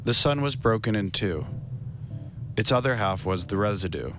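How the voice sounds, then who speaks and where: A man in his thirties narrating a literary radio drama in a measured, solemn, deliberate way, close to the microphone. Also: on the low side, animated, music in the background. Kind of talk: scripted literary narration, poem recital. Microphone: large microphone on a stand, close. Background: string instruments playing.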